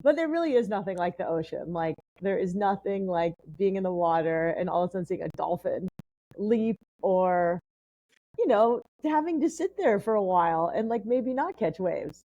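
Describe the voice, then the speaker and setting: Mellow-voiced woman telling stories in dry sound. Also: medium pitch, expressive, normal speed, dry recording, highs cut off. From San Diego, California. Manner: chatty, rambling, frequent "like" fillers. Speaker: podcast guest. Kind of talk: casual conversational storytelling.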